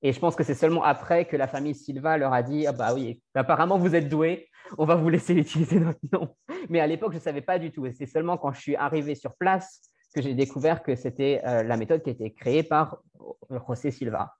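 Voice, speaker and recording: Higher-voiced man, conversational. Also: quick pace, a little mellow, highs cut off. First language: French. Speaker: podcast host and French language coach. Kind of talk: conversational interview.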